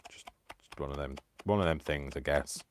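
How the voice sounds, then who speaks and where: A man hosting a live crafting stream, chatting casually and poking fun at himself, tired and clearing his throat. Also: extremely low pitch, normal speed, slight background noise. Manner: chatty, self-deprecating, talks to himself. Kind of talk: rambling casual chat while crafting.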